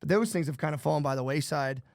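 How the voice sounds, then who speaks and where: A man's voice: a little high, fast talker, vocal fry, a little mellow, dry recording. A man co-hosting a podcast, rambling loosely and swearing, saying "dude" a lot, on a close studio mic. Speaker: podcast co-host. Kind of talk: casual podcast chat.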